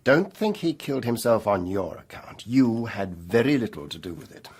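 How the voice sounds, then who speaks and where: An urbane, philosophical older confidant in a radio play. The voice is husky and swings widely in pitch, with a measured, probing manner and a dry irony. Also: male voice, big pitch swings, a little mellow. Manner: measured, probing, dryly ironic. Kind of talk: radio drama acting.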